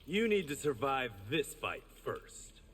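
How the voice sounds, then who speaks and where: A man's voice: mid-range voice, big pitch swings, takes it slowly, a bit bright, long reverb tail, slight background noise. An actor voicing an honourable reformed gangster swordsman in a fighting game, dry and self-assured.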